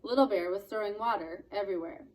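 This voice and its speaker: A woman narrating a children's book expressively, with deliberate, dramatic phrasing. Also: mid-range voice, darkish tone, rough recording. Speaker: read-aloud storyteller.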